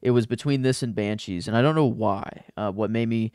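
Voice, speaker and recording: A man's voice: fairly low pitch, fairly quick, a little mellow, clear, resonant tone, no echo, clean studio sound. A man co-hosting a podcast with a stuffed-up nose, rambling about a film with many restarts. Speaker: podcast co-host. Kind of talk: casual film discussion.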